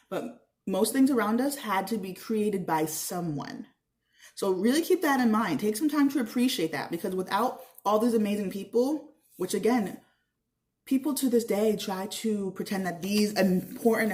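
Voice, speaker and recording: A woman's voice: mid-range voice, darkish tone. A woman giving an educational read-aloud with explanatory asides, earnest and encouraging as she speaks directly to young listeners. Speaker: teacher reading a children's book aloud.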